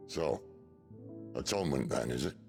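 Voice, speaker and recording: An actor playing a wry, worldly rebel leader in a video game, with a gravelly voice and theatrical delivery. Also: male voice, a bass voice, expressive, moderate pace, a little mellow, soft background music. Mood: dry. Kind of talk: dramatic video game voice acting.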